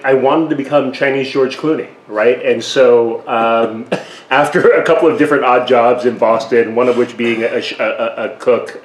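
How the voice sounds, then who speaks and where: A man's voice: medium pitch, roomy sound, low-quality recording. A man born in Taiwan, guest on a cooking interview show, talking in a chatty, self-deprecating way with frequent restarts. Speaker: guest, radio station president. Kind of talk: casual conversational interview. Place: home kitchen.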